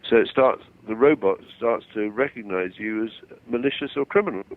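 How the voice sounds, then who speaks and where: An older man explaining his views over the phone, self-assured but halting and digressive. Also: lowish voice. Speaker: phone-in guest. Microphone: telephone. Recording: tinny narrow-band phone call.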